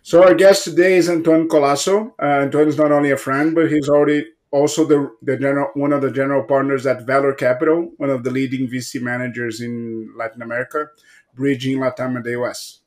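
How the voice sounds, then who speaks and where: A man's voice: medium pitch, steady tone, brisk, darkish tone. A man co-hosting a podcast who introduces the guest and adds short, friendly remarks. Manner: brief, friendly interjections. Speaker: podcast co-host.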